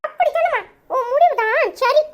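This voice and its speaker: A woman's voice: very high voice, moderate pace, highs cut off, voice pushed up. A woman voicing a cheeky, scheming little boy who makes gleeful, mocking asides. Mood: cheering.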